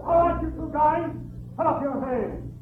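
An actor playing a bossy male work-crew boss, gruffly barking orders, on an old radio comedy. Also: extremely high pitch, moderate pace, a little hiss or hum, voice pushed up. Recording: tinny old radio broadcast.

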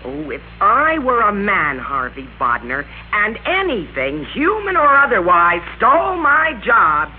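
A scornful wife, swooping scolding voice. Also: female voice, medium pitch, huge pitch swings, measured pace, hardly any pauses, phone-quality sound. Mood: scornful, goading. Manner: scolding, emphatic. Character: scornful, nagging wife, fierce and domineering. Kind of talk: old-time radio drama acting. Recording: band-limited old radio recording, faint noise.

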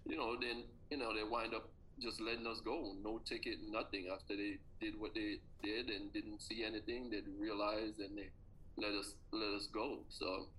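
Bright-voiced Jamaican man telling his story. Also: fairly low pitch, little pitch movement, moderate pace, a little hiss or hum, rough recording. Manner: earnest, reflective storytelling. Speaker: track coach and former athlete. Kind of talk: recounting personal stories in an interview.

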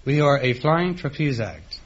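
A man's voice: medium pitch, big pitch swings, measured pace, noisy background. A man in his thirties from Delaware, giving short, polite answers as a quiz show contestant. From Delaware, United States. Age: 30s. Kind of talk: brief interview answers. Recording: muffled old radio broadcast.

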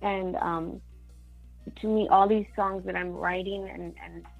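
Woman guest, Mexican-born singer-songwriter; rambling, filler-heavy talk with Spanish words; gravelly voice, dull recording. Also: mid-range voice, fairly even intonation, measured pace, soft background music. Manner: chatty, many fillers, mixes in Spanish words. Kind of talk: casual interview chat.

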